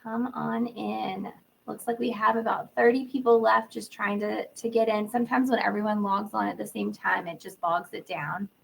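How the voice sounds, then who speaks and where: A woman's voice: medium pitch, steady tone, normal speed, slight background noise. A woman hosting a live teaching webinar, greeting attendees by name in an upbeat, casual way while she is losing her voice from a sore throat and cold. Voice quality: gravelly, husky. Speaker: webinar host, literacy educator. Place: indoor room.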